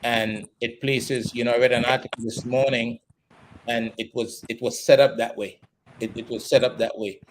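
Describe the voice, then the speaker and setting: A man from Trinidad and Tobago, hosting a sports discussion in a deliberate, earnest way with probing questions. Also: mid-range voice, level tone, a little hiss or hum, low-quality recording. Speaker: sports show host. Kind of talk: discussion and interviewing.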